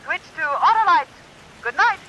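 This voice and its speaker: Piercingly high, perky radio pitch character. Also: male voice, animated, moderate pace, sharp tone, full character voice. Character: chirpy high-voiced singsong product pitch character. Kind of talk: 1950s radio commercial. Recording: muffled, hissy old radio recording.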